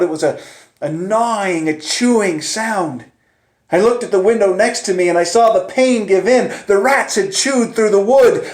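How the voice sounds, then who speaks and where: A male storyteller performs a first-person tale with theatrical intensity. His voice sits high for a man and swings between hushed suspense and urgent, raised delivery. The sound is roomy, with clear echo.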